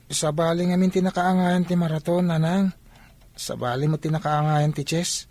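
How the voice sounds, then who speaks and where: A man's voice: a little high, steady tone, moderate pace, darkish tone, dry recording, lightly acted. A man acting as an eager, obliging young village athlete in a radio drama, with an Ilocano Filipino accent. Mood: earnest.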